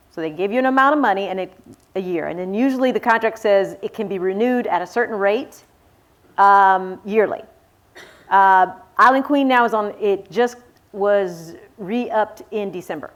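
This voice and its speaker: A woman's voice: medium pitch, normal speed. A woman author speaking casually on a panel, explaining things in a chatty, folksy, informal way. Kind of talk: casual panel talk answering audience questions.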